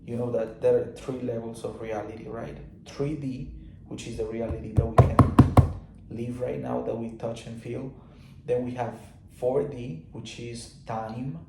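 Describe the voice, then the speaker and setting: Man with a gravelly voice. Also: mid-range voice, dark tone, reverberant, slight background noise, poor audio. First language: Spanish. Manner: loose and repetitive, many fillers and restarts. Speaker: teacher of spiritual laws. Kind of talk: casual explanatory talk.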